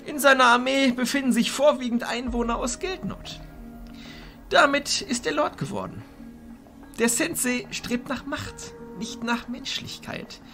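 A man playing a stern, formal archchancellor of mages in a fantasy audio drama, speaking in a commanding, deliberate way. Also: extremely high pitch, big pitch swings, pushed and bright, faint music behind, full character voice. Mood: solemn, cautioning. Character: stern authoritative male archchancellor of illusionist mages.

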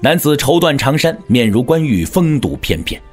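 A man narrating an audio drama as scripted storytelling, in a measured, theatrical manner. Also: medium pitch, very animated, slightly rough, soft background music.

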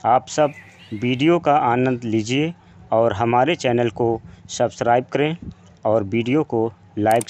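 Man, voice-over narrator, slow unhurried pace, mid pitch, measured listing delivery. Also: a little mellow. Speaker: channel narrator.

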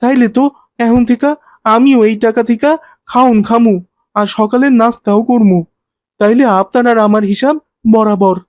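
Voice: mid-range voice, steady tone, normal speed, darkish tone, no echo, close to an everyday voice. An actor playing a hardworking, aggrieved ten-year-old boy minibus helper in a cartoon, with colloquial Bangladeshi speech and a plain-spoken, complaining manner. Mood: aggrieved, protesting. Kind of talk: cartoon voice acting.

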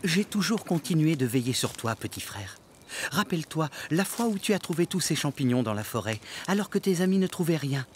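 An actor playing an eccentric, polite middle-aged ex-banker turned forest hermit in a cartoon, speaking formally and sententiously. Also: male voice, a little high, sing-song, brisk, slight background noise. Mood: fond. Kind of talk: animated series dialogue. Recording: music behind the voice.

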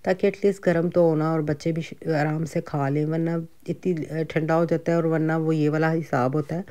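Chatty Pakistani woman, mellow flat voice. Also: low-pitched, normal speed. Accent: Pakistani Urdu. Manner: chatty, conversational, frequent fillers and asides. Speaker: home cooking vlogger. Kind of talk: casual cooking and grocery vlog.

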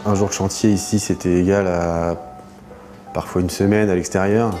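A man in his thirties, a site engineer, speaking haltingly in a documentary interview in a site office. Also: low voice, steady tone. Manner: hesitant, halting. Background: soft background music.

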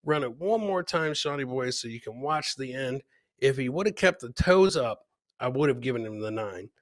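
A man's voice: mid-range voice, animated, normal speed, dry recording, clean studio sound. A middle-aged man doing casual, jokey live commentary on video clips as a comedian host, often repeating his catchphrases. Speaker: comedian hosting a livestream clip show.

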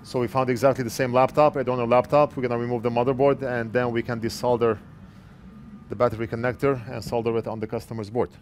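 A man's voice: mid-range voice, flat delivery, fast talker. Man in his 40s, repair tutorial, plain explanatory delivery, headset mic, faint traffic hum behind. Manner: plain, explanatory, talks while working. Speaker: repair technician. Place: electronics repair workbench indoors. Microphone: wired earpiece headset mic.

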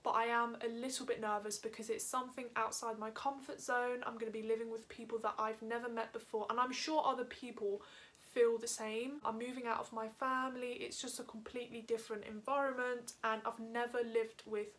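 A woman's voice: fairly high pitch, level tone, fairly bright tone. Upbeat young woman chatting in her bedroom. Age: young adult. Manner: chatty, upbeat, quick asides. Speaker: lifestyle vlogger. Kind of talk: casual haul vlog talk to camera. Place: bedroom, indoors. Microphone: camera on a stand in front of her.

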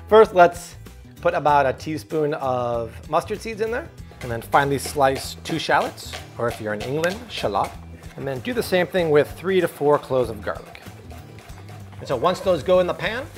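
A man in his thirties hosting a cooking video in a home kitchen, energetic and jokey, chatting with his crew as he cooks. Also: fairly high pitch, normal speed, soft background music. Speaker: cooking show host. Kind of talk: upbeat cooking demo.

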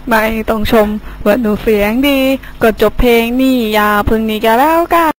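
A woman's voice: on the high side, normal speed, natural voice, poor audio. A woman voicing a small, cheeky, boastful partridge in a children's story, chirpy and self-assured.

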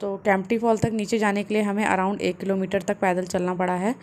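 Woman doing travel vlog voiceover, flat steady tone, mellow voice. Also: mid-range voice, brisk. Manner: calm, explanatory, steady. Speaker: travel vlogger. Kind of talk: narrated travel vlog voice-over.

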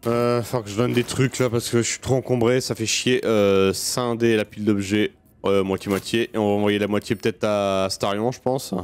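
A man's voice: on the low side, steady tone, moderate pace, clean tone. A man giving casual let's play commentary, muttering to himself informally and swearing freely. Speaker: gaming video host. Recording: game music behind the voice. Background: video game music.

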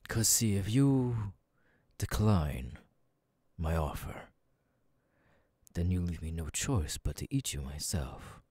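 A sadistic demon king, played by a man, speaks softly and with haughty condescension in an audio roleplay. The voice is dark and very deep, delivered at an unhurried, drawn-out pace that keeps every line menacing. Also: big pitch swings, slightly rough, no echo, studio quality, heavily acted. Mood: calm, threatening. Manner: slow, soft-spoken, condescending. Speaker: voice actor.

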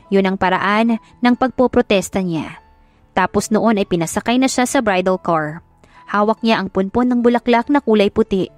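A woman's voice: medium pitch, a little mellow, no room sound. A woman reading a scripted love story as a dramatic audiobook narrator. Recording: music behind the voice. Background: music.

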